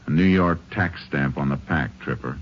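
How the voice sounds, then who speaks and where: An actor playing a steady, shrewd Texas Ranger who speaks and narrates in a terse, authoritative way in an old radio drama. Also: male voice, very deep voice, normal speed, dull audio. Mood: firm, probing. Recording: noisy, band-limited old radio recording.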